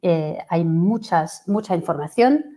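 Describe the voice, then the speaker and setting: French-accented woman in her 40s, mellow low voice, hesitant webinar coach. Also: a little slow. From France. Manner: hesitant, many fillers and restarts. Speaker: fertility coach. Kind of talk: webinar presentation.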